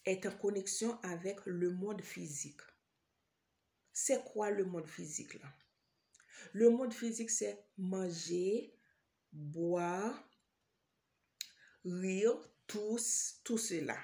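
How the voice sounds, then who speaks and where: A woman's voice: mid-range voice, slow, pauses often, warm tone. A woman from Haiti with a Haitian accent, preaching an informal sermon straight to the listener, hesitant, full of fillers and repeated phrases. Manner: addresses listener directly.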